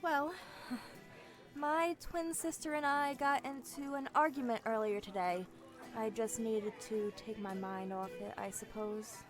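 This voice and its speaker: High-voiced charming young elf assassin played by a woman. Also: steady tone, measured pace, over music, lightly acted. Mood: troubled, confiding. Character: young winter elf assassin, charming, sweetly feigning innocence. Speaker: voice actor in an audio roleplay. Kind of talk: fantasy audio drama acting.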